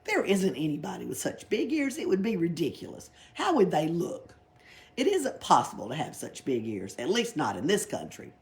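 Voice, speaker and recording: A woman's voice: low voice, wildly swooping pitch, normal speed, a little mellow, full character voice. A woman voicing a cheeky, exuberant young girl who tells tall tales in a children's storybook, gleeful, emphatic and often giggly. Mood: mock-serious tall tale. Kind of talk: children's storybook read aloud.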